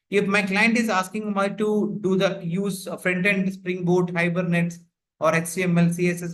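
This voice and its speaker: A man's voice: high-pitched, fairly bright tone, rough recording. A man teaching a live online class over a video call, explaining in a repetitive way full of fillers. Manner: repetitive, filler-heavy explaining with frequent "like, you know". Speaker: instructor. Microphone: computer mic on a video call.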